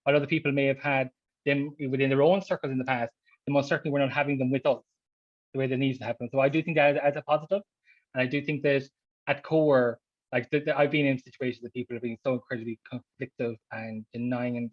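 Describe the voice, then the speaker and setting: A man speaks quickly and earnestly on an online panel and restarts his phrases as he goes. His voice comes through dry and a little muffled. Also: medium pitch, flat delivery, no room sound. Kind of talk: panel discussion. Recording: dull, dry home audio.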